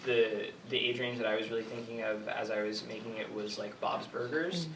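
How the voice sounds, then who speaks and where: A man's voice: lowish voice, fairly even intonation, fairly quick, fairly bright tone. A man in his twenties giving informal, rambling answers as a writer at an audience Q&A. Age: 20s. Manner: hesitant, rambling, heavy use of "like". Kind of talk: informal Q&A talk. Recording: echoey room, distant mic, noisy.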